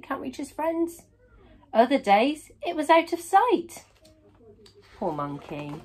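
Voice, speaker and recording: A woman's voice: high-pitched, darkish tone. A woman in her thirties reading a children's picture book aloud expressively, with dramatic emphasis on key words. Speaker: storybook reader.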